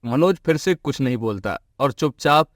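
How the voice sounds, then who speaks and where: Casting: male narrator for moral tales, mid-pitched voice, scripted storytelling, very dry recording. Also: lively intonation, normal speed, dry recording.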